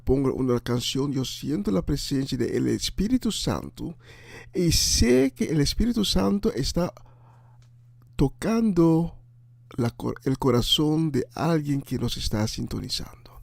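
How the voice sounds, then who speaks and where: Animated, higher-voiced man preaching on air. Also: gravelly, dry recording. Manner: halting and emphatic, with repetition. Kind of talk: informal on-air preaching and greetings.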